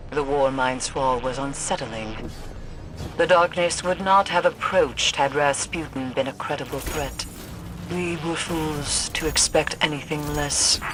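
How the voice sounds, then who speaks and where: A woman's voice: fairly low pitch, noisy background. An actress playing a grave, ominous mystic woman in a video game, speaking in a formal, cryptic, solemn manner. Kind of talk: dramatic video game acting. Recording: music behind the voice.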